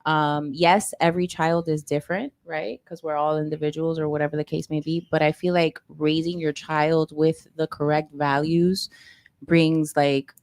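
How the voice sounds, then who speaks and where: A woman's voice: low voice, flat delivery, moderate pace, darkish tone, dry recording. Outspoken, joking woman in her thirties. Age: 30s. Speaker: panel guest, comedian. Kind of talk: casual panel chat. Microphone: headset.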